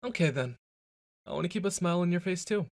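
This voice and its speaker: A man's voice: sits high, animated, fast talker, creaky, no echo, clean studio sound. A young man performing a boyfriend ASMR roleplay, brash and teasing, stumbling into flustered stammers.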